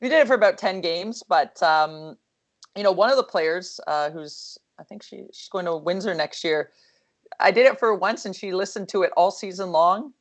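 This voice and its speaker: A woman with a low, calm voice talks about coaching over a video call. Her speech is relaxed and rambling, full of fillers and self-corrections, and her tone stays soothing. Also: moderate pace. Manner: chatty, lots of fillers and restarts. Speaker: hockey coach. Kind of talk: casual video call discussion.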